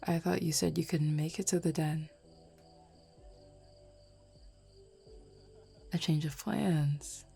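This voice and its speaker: A woman voicing a flirty, self-assured female werewolf in an intimate roleplay audio, teasing softly and without hurry. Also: deep voice, steady tone, normal speed, vocal fry, faint music behind.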